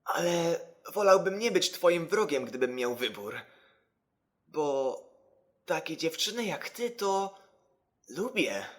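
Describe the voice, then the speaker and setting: A man's voice: fairly high pitch, very animated, slow, long pauses. A man playing an earnest, easygoing teenage boy hero in an anime fan dub, plain-spoken and sincere. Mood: casual, sincere.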